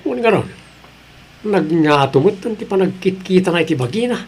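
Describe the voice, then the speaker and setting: High-pitched, earnest Ilocano husband, acted by a man. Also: lively intonation, normal speed, slightly rough, full character voice. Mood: disapproving. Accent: Filipino, Ilocano. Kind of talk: Ilocano audio drama acting.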